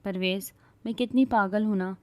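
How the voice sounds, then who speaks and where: A woman's voice: mid-range voice, steady tone, darkish tone, close to an everyday voice. A woman voicing a young wife who pleads tearfully for forgiveness. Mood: tearful pleading.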